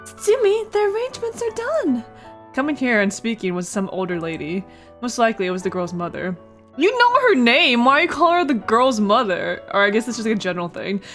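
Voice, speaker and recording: A woman's voice: medium pitch, very animated, brisk, fairly bright tone. A woman hosting a casual let's play, reading a visual novel aloud with playful asides and stumbles over words. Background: music.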